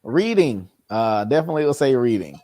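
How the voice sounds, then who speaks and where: A man in his early twenties speaking casually as a guest on a video call, relaxed, informal and quick to laugh. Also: mid-range voice, dry recording. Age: early 20s. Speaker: guest, youth organization founder. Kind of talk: casual conversation.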